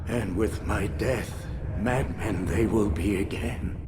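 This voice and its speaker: An actor playing a cold, cruel medieval doctor in a game cutscene, proud, eloquent and condescending, with music behind him. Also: male voice, on the low side, slow, background noise. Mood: scornful, self-assured. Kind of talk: dramatic video game cutscene acting. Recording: music under the voice.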